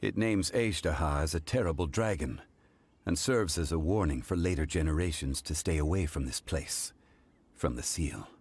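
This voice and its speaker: A deep, solemn ancient god. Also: male voice, a little mellow, husky, full character voice. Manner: measured, formal, grave. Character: ancient calm male god, dignified and solemn.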